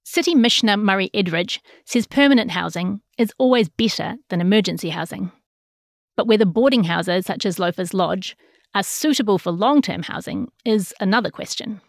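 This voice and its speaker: A woman's voice: medium pitch, moderate pace, dry recording, clean studio sound. New Zealand woman narrating a news feature. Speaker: journalist narrating a feature story. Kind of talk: scripted narration of a written news feature, reading quotes.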